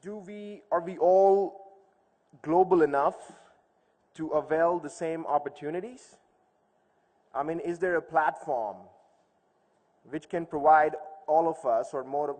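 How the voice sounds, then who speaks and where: A man's voice: on the high side, a little mellow. Man giving a talk in an echoey hall. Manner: conversational, uses rhetorical questions. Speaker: conference speaker. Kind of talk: informal public speech with storytelling. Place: large hall, speaking to an audience. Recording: echoey hall with long reverb.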